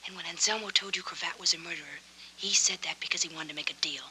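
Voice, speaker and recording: An American actress playing an earnest, loyal young lounge singer in a 1940s film noir, coaxing and persistent. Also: female voice, fairly low pitch, fairly even intonation, quick pace, sharp tone. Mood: brisk reasoning. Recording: faintly noisy 1940s film soundtrack.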